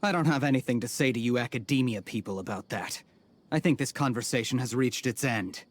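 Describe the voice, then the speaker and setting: A man's voice: medium pitch, fairly quick, natural voice. A man playing a zealous, hot-tempered desert mercenary swindler in a video game, preachy, sneering and threatening. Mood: angry, threatening.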